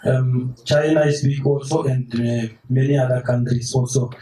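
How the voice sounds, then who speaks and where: A young man in the audience making an earnest comment, pausing often to find his words. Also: medium pitch, fairly even intonation, mellow, a little hiss or hum, low-quality recording. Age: young adult. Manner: earnest, halting with fillers. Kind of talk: public comment.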